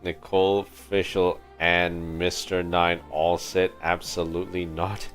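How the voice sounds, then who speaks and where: A man's voice: low-pitched, little pitch movement, takes it slowly, fairly bright tone. A man giving casual, amused, exclamatory commentary as he streams a video game. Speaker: live streamer. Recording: game music behind the voice.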